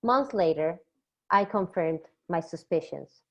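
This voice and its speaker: A young woman from Peru, a doctoral student, reading a measured academic poster presentation from a script. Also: fairly low pitch, expressive. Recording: slightly dull lo-fi recording.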